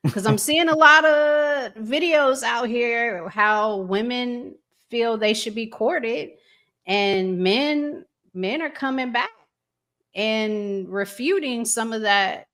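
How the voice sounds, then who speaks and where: A woman hosting a podcast, putting questions in a casual, thoughtful and measured way. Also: medium pitch, fairly bright tone. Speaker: podcast host. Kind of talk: casual conversational interviewing.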